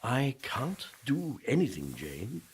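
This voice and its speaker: An actor playing the gloomy, repentant ghost of a Puritan pastor in a radio play, wistful and self-pitying in old-fashioned formal speech. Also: male voice, mid-range voice, wildly swooping pitch, takes it slowly, slight background noise, heavily acted. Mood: despairing, defensive.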